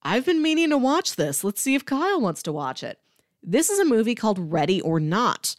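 A woman co-hosting a podcast in a casual, informal chat, describing things in a conversational and animated way. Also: fairly high pitch, a little fast, no room sound, clean studio sound. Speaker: podcast co-host. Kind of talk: casual chat, pitching movies.

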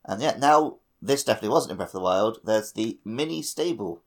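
Low, gravelly-voiced man chatting. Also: lively intonation, a little fast. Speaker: podcast co-host. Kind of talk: casual gaming chat.

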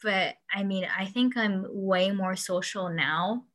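A woman's voice: mid-range voice, slow pace, fairly bright tone. A young woman whose first language is Urdu, chatting casually as a podcast host and often quoting people. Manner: chatty, laughs often, quotes people in acted voices. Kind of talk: casual chat.

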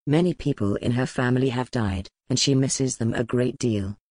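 A woman voicing a gentle, grave duke who speaks in a calm, measured way. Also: rumbling low, dry recording, voice pushed down. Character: gentle grave male duke, lord of the castle.

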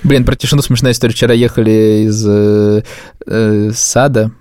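A man's voice: on the low side, steady tone, moderate pace, a little mellow, clean tone, no room sound, studio quality. A man co-hosting a podcast, telling anecdotes in casual, slangy speech. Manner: informal, slangy. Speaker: podcast co-host.

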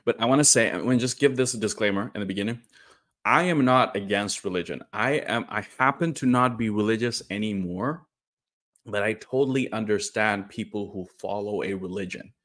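A man's voice: lowish voice, fairly quick, fairly bright tone. A young man from India telling a personal story to camera in a candid, self-correcting way. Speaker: vlogger.

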